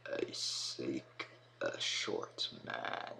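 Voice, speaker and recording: A man voicing a gigantic, fanged, menacing giant in a storybook tale, with a slow, guttural, booming delivery. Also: bright, forceful tone, slight background noise, heavily acted. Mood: ominous, demanding. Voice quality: low, deep, guttural.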